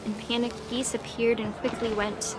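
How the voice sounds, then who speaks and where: A woman playing a wistful daughter in a story game, reciting a rhymed poem about her lost brother in a measured rhythm. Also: fairly high pitch, level tone, lightly acted, rough recording. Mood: wistful, reflective. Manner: measured, verse-like rhythmic delivery. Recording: loud music over the voice. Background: loud music.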